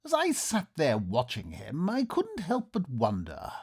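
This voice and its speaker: A suspicious Victorian nobleman in a comic radio play. His delivery is formal and melodramatic, with a highly animated pitch. He sounds like an uneasy guest telling his own tale. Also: unhurried, husky, full character voice. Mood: brooding narration. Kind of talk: old-time radio theatre comedy acting.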